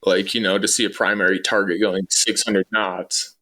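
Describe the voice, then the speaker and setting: A man's voice: sits low, level tone, normal speed, bright, forceful tone, low-quality recording. A man answering interview questions as a guest in a casual, matter-of-fact, conversational way. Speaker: interview guest, former air traffic controller.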